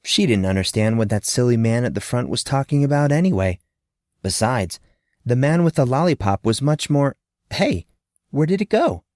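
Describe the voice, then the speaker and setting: A male audiobook narrator telling a fantasy story with plenty of swing in his voice, rising and falling through the sentences. His pitch sits in an ordinary middle register, and the recording is dry and close, with no room sound. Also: normal speed, no echo. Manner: expressive, lively storytelling.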